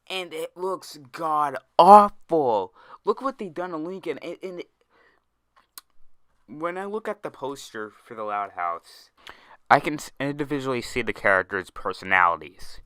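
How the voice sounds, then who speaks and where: A man's voice: mid-range voice, sharp tone, clear, resonant tone, no room sound, clean studio sound. Exasperated young man ranting. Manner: exasperated, trips over words and restarts often. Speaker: YouTube commentator. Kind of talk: ranting commentary video.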